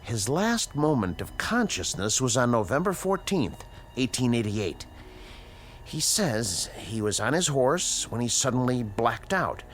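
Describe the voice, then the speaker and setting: Slow, swooping, formal scientist. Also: male voice, medium pitch, sing-song, slight background noise. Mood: clinical, measured. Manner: precise, formal, measured diction with dramatic pauses. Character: educated, uneasy male scientist, inventor of a time machine. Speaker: radio drama actor. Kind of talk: radio drama acting, dialogue and dictated log narration.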